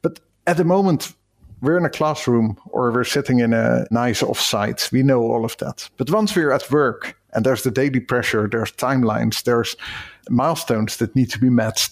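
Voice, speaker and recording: A man's voice: medium pitch, moderate pace, gravelly. A Dutch man with a Dutch accent, a cross-cultural consultant explaining ideas thoughtfully in a podcast interview. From Netherlands.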